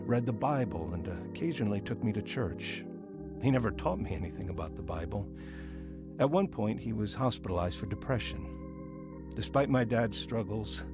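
An actor playing a man soberly recounting his troubled childhood in measured, scripted drama narration. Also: on the low side.

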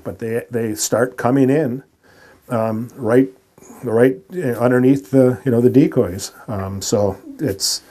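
An older man, explaining spear fishing in a dark ice shack, with many restarts and fillers. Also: mid-range voice, steady tone, slow pace. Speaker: spear fisherman and decoy carver. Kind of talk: casual explaining on location.

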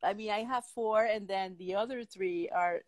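A middle-aged woman from Venezuela speaks in a casual interview. Her pitch is average and she feels her way hesitantly through sentences. The sound is close and dry, as on a video call. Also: moderate pace, no room sound. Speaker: talk show host. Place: indoor room, remote video call.

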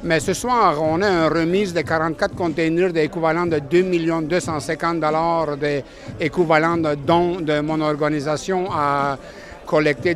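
Halting man, fairly high voice. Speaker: chamber of commerce president. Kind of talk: on-camera interview answer. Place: banquet hall at a gala. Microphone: handheld reporter microphone.

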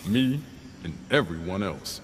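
An actor playing a tough, irritated, wisecracking soldier in a video game. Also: male voice, sits low, lively intonation, unhurried. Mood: annoyed. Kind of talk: video game character dialogue. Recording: noisy, reverberant hall.

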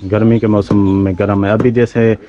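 A man's voice: on the low side, flat delivery, moderate pace, dull audio, crowd noise. A man from Pakistan hosting a village tour, asking the locals short, friendly questions while filming outdoors on a handheld camera. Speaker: vlog host and interviewer. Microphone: camera held by the speaker.

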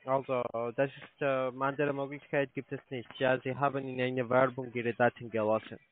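A man's voice: medium pitch, level tone, normal speed. A man with a foreign accent in German, working as a call centre account operator, formal and insistent on the phone. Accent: foreign, non-native German. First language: not German. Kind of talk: phone sales pitch. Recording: dull, noisy phone line with clicks.

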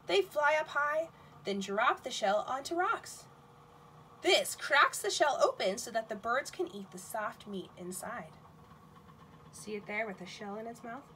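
A woman teaching nursery school, reading a picture book aloud to young children in an expressive, questioning manner, with exclamations. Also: fairly high pitch, a little hiss or hum, poor audio.

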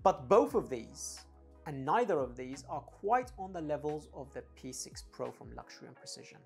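A woman's voice: sits low, very animated, moderate pace, creaky, darkish tone, soft background music. A woman giving a casual, opinionated gear review, speaking emphatically as a reviewer. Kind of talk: casual product review talk.

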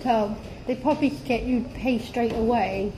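Woman, Welsh accent, high voice, chatty mother, phone vlog, noisy restaurant. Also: fairly even intonation, a little slow. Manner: chatty, coaxing with her child. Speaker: vlogger, mother. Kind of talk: casual family vlog. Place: indoor buffet restaurant. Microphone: handheld phone camera.

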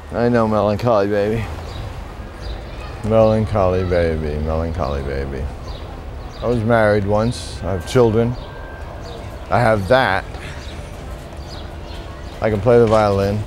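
A man playing a rambling, melancholy middle-aged poet in a theatrical monologue, halting and musing, repeating phrases to himself. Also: fairly low pitch, a little mellow, a little hiss or hum, lightly acted. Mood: rueful, resigned. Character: rambling, melancholy washed-up male poet, wistful and self-doubting. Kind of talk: theatrical monologue with recited verse.

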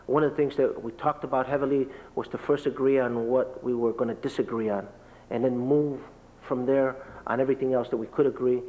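A man speaking as a panelist in a large lecture hall, explaining at length in a steady way and listing things. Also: mid-range voice. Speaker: panelist, business association leader. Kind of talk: panel discussion answer. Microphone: table microphone. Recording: reverberant hall, dull lo-fi tape.

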